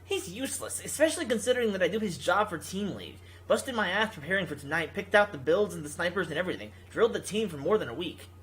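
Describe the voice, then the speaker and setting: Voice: medium pitch, big pitch swings, fast, few pauses, a bit bright, rough recording. A video game reader voicing a carefree, proud young male pro gamer who is fed up with his team. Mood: scornful.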